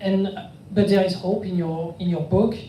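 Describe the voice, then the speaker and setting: A man's voice: high-pitched, fairly even intonation, moderate pace, mellow, a little hiss or hum. A man interviewing an author at a live book talk, asking questions hesitantly with many fillers. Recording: roomy room audio from a distant mic.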